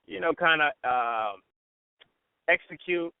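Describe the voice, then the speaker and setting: A man's voice: fairly high pitch, animated, takes it slowly, vocal fry, clean tone, dry recording, narrow, tinny audio. A man talking casually as an interview guest, informal and repetitive, often saying "you know" and "man". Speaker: radio personality, interview guest. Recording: band-limited radio phone-in sound.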